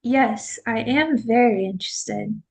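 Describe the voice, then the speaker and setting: A woman's voice: fairly high pitch, takes it slowly, gravelly, breathy edge, full character voice. A woman playing a dignified, guarded man in exile, formal and reserved, in an amateur script read-through. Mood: guarded, polite.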